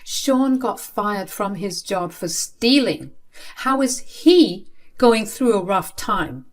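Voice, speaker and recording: A woman's voice: on the low side, expressive, moderate pace, fairly bright tone. Woman as an indignant, pleading teenage girl. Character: wronged, exasperated teenage daughter arguing back.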